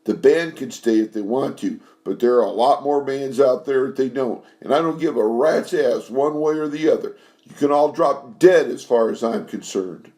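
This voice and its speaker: A man voicing a worn-out, furious woman singer, a diva who turns shrill and scornful. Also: fairly even intonation, moderate pace, creaky, warm tone.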